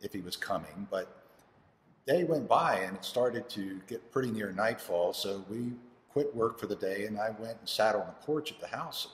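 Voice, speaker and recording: An actor, a man, playing an earnest, troubled farmer father telling his story in a halting dramatic monologue. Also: on the low side, lively intonation, slight background noise, close to an everyday voice. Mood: reflective. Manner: halting, with dramatic pauses and restarts. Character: anguished, earnest farmer father of two sons. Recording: roomy, echoing hall with long reverb.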